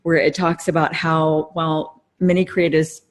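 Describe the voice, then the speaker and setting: A woman's voice: deep voice, little pitch movement, normal speed. A woman co-hosting a podcast, explaining things casually in a wordy way and rephrasing herself as she goes. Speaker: podcast co-host.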